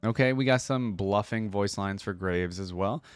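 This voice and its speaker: A man with a lowish voice, recorded dry. He hosts a reaction video, commenting casually and thinking aloud in a conversational way. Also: moderate pace, clean tone, dry recording, soft background music.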